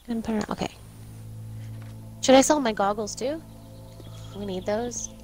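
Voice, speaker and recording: A woman's voice: on the high side, unhurried, darkish tone, faint music behind. A woman co-hosting a gameplay video, chatting casually with her fellow player as she plays. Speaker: gaming video co-host and player.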